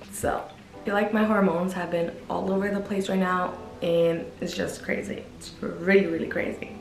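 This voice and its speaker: A woman's voice: mid-range voice, a little hiss or hum. A woman in her twenties from Guatemala, speaking, chatting casually and upbeat in a Q&A vlog from her bedroom. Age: 20s. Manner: chatty, upbeat, lots of "like" and "you know". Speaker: YouTuber. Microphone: camera at medium distance. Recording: echoey room, faint music.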